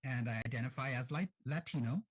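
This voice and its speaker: A man with a husky voice speaks on a discussion panel. He introduces himself in a friendly, straightforward way. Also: medium pitch, fast talker.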